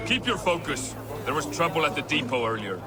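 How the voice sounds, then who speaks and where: An actor playing a surly, thuggish Viking-age guard in a video game, giving curt orders. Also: male voice, on the high side, animated, brisk, over music, close to an everyday voice. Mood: tense. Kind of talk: video game dialogue acting.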